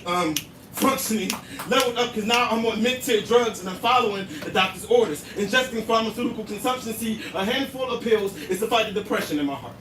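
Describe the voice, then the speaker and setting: Guy doing spoken word live, kinda high voice, intense rhythmic flow, echoey room, mic far off. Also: brisk, a crowd in the background. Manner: rhythmic, intense, wordplay-driven delivery. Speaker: slam poet. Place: live poetry venue, indoors. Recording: roomy, distant live venue sound with noise.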